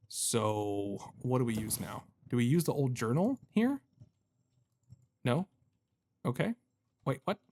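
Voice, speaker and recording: A man's voice: medium pitch, a little mellow, a little hiss or hum. Man doing casual self-deprecating game commentary. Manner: self-deprecating, talks to himself while puzzling. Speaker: gaming video host. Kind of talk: casual let's-play commentary.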